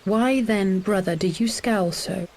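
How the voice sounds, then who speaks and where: An actress playing a poised, perceptive young noblewoman with a breathy voice, speaking formally in a fantasy game cutscene. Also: medium pitch, measured pace, darkish tone. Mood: probing. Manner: formal, articulate.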